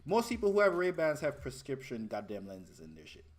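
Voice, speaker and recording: A man's voice: medium pitch, sing-song, a little fast, a loud crowd. A man from Jamaica co-hosting a podcast, bantering casually, swearing freely and laughing often. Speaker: podcast co-host.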